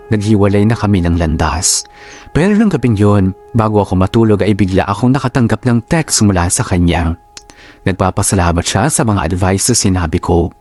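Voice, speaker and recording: A man with a Filipino accent reading a story as dramatic, measured scripted narration. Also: lowish voice, a little mellow.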